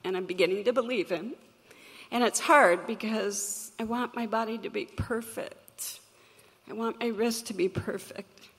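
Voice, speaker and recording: A woman with a crackly, gravelly voice shares a personal story from a stage. She speaks earnestly and reflectively, at a measured pace. Her words trail off into the long reverb of a large hall. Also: a little high. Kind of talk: personal story told to an audience.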